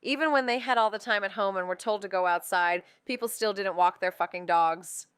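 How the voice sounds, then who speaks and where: An actress playing a sarcastic, self-deprecating, sweary woman sleuth in a naturalistic audio drama, with casual deadpan delivery. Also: medium pitch, fairly quick, clean tone, no echo, studio quality, lightly acted.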